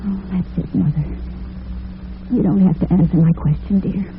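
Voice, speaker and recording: A woman's voice: fairly low pitch, steady tone, moderate pace, close to an everyday voice. An actress playing a devoted, worried young working woman in old-time radio drama, earnest and tender. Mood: tender, reassuring. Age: young adult. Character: devoted young waitress-cashier daughter, worried but brave. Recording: noisy, tinny vintage radio recording.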